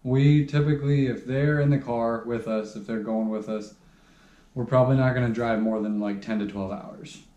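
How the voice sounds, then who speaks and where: A young man vlogger chatting casually at home, calmly adding practical tips. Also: medium pitch, moderate pace, muffled recording. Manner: relaxed, agreeing, adds practical tips. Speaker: travel vlogger. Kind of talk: casual chat. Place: small room at home, indoors. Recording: echoey room.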